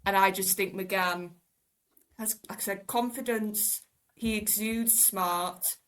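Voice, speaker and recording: A woman's voice: mid-range voice, fairly even intonation, slow, fairly bright tone. A woman giving her opinions casually as a podcast panelist.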